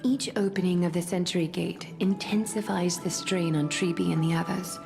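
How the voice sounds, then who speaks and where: A woman voicing a composed, regal leader in a video game, with formal, measured and authoritative delivery. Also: lowish voice, steady tone, vocal fry, over music.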